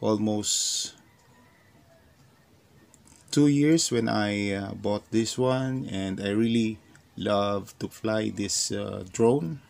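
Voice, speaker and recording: Slow, gravelly man with many fillers. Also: lowish voice, a little mellow. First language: Tagalog. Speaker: vlogger. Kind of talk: casual unboxing talk. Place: indoors at a desk. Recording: clear crinkling noise behind. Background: plastic crinkling and clicks.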